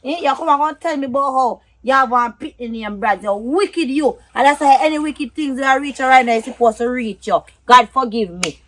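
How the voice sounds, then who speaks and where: A woman's voice: sits high, fairly quick, hardly any pauses, fairly bright tone. A woman with a Jamaican accent playing a feisty, scornful lover in a drama, scolding and repeating her words for emphasis. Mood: outraged, scolding.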